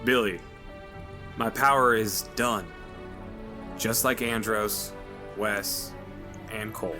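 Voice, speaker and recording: A man's voice: on the low side, steady tone, slow pace, over music. A man voicing a seasoned ranger leader, plain-spoken and direct. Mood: steady.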